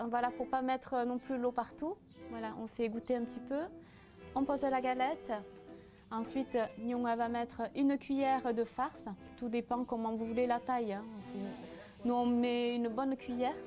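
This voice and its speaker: A woman of Vietnamese origin giving a casual step-by-step cooking demonstration, pausing often with fillers as she explains. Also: fairly high pitch, steady tone, warm tone, faint music behind. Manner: hesitant, frequent "euh" fillers, step-by-step explaining. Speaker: cooking association cofounder demonstrating a recipe.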